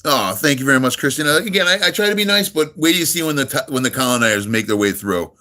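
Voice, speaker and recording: Laid-back middle-aged American streamer. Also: male voice, mid-range voice, expressive, fast, pushed and bright. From United States, near New York. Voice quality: full radio-style voice. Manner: laid-back, friendly, rambling with many ums and uhs. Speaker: live streamer. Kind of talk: casual live-stream chat, reading and answering viewers.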